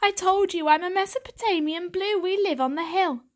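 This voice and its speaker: Woman doing an earnest young tom cat, high and eager. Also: normal speed. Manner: earnest, eager, slightly proud. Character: young male pet cat, earnest and naive.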